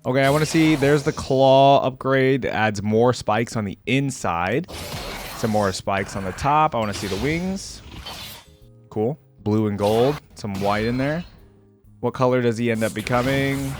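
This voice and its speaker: A man's voice: medium pitch, a little slow, soft background music. A man giving casual, off-the-cuff reaction commentary as a video host, thinking aloud in an informal, exclamatory way.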